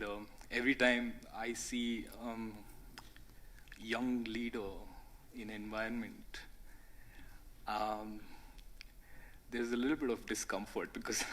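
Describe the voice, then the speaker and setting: A man's voice: lowish voice, fairly bright tone, slight background noise. A young man speaking on a conference panel, modest and hesitant, with frequent fillers. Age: young adult. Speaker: youth panelist.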